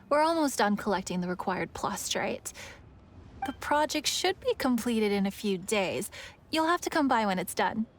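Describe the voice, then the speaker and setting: A woman's voice: mid-range voice, lively intonation, unhurried, a little hiss or hum, natural voice. A woman playing a friendly, chatty young festival lantern maker in a video game. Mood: friendly, explaining. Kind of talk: video game character acting.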